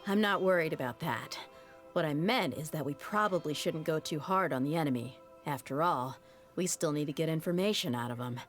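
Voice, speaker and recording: A tough female desert mercenary, voiced by a woman in a video game, speaking low and even. She is blunt and steady, and her threats come out cool rather than loud. The voice sits deep for a woman. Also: moderate pace, soft background music, natural voice. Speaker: game character.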